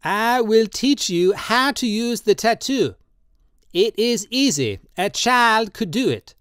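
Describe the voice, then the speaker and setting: A storybook reader voicing an excitable, drunken old man who pleads and insists, raised well above the reader's own voice with an altered tone. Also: medium pitch, takes it slowly, smooth, no room sound, studio quality, voice pushed up. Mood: pleading.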